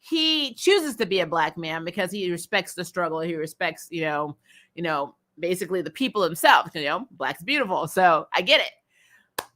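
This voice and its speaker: Bright, forceful woman ranting casually about TV. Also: lowish voice, moderate pace. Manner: animated, exasperated, laughs often, sweary; acts out imagined dialogue. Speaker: host of a TV recap show. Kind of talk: casual opinionated review commentary.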